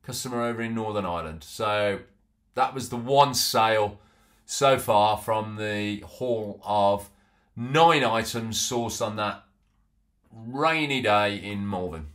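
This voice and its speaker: A man's voice: lowish voice, slow pace, fairly bright tone. Middle-aged guy rambling about his antiques finds, lots of ums, talking into a laptop cam in a small home office. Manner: chatty, loose, with frequent ums and restarts. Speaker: antiques reseller. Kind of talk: casual haul vlog.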